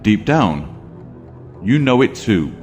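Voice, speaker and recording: A fairly low voice swings dramatically in pitch across a reverberant hall. It is a stern, proud king played by a man, declaiming with wounded dignity in an audio drama. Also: sing-song, slow pace, a little mellow. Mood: indignant, proud. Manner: emphatic, declamatory. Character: proud, aggrieved king, stern younger brother. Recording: reverberant hall, music behind.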